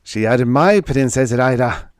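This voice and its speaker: A man's voice: mid-range voice, lively intonation, a little slow, no room sound, studio quality, voice pushed up, close to an everyday voice. A man voicing a fierce, loyal alien creature with menacing, broken speech in a story reading. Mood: menacing fury.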